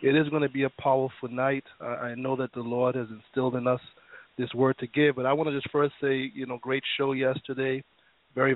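A male minister preaching and praying on an internet radio show, his voice slightly raspy from illness. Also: mid-range voice, dry recording. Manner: fervent, preacherly, prays and reads scripture. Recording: dry tinny phone line.

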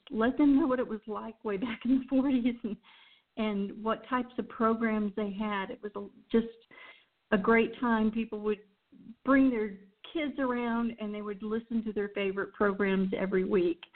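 A woman hosts a radio show with casual, enthusiastic chat. Her voice sits at an average pitch and comes through thin and phone-like. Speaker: show host. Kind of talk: casual chat introducing old radio programs. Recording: tinny, phone-like band-limited sound.

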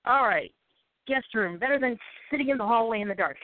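A woman's voice: fairly high pitch, expressive, brisk, dry recording. Stuffy-nosed woman co-host on the phone. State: stuffy nose. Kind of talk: casual chat. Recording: tinny phone-like line.

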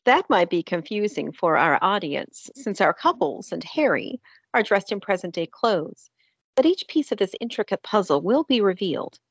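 A woman's voice: mid-range voice, sing-song, normal speed, slightly rough, dry recording. A woman narrating a scripted fantasy drama.

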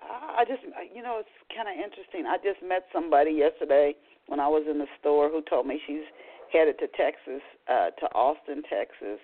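A woman hosting a book talk radio show, chatty and animated, often restarting her sentences. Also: sits low, a little fast. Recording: tinny phone-line audio.

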